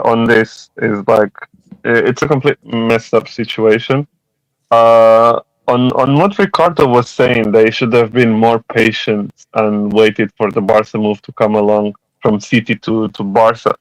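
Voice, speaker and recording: A man's voice: medium pitch, unhurried, no echo, low-quality recording. A man in a casual football podcast debate, talking with many fillers and restarts.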